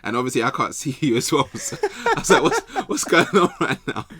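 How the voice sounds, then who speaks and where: A man's voice: mid-range voice, huge pitch swings, a little fast, fairly bright tone. A man with a London accent chatting casually and slangily on a podcast, sounding sure of himself. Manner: slangy, self-assured. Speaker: football podcast panellist. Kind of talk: casual chat. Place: bedroom, on a remote video call.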